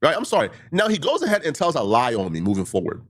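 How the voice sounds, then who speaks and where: A man's voice: medium pitch, wildly swooping pitch, fast. A man who stutters, a livestreamer giving a heated monologue to his critics, full of repeated points and rhetorical questions.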